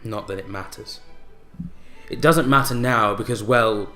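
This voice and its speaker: An actor playing a bitter, broken widower in a radio play monologue, brooding and theatrical, with deliberate pauses. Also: male voice, on the low side, bright, forceful tone, faint music behind. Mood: resigned, wounded. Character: bitter, broken widower recounting his unhappy marriage.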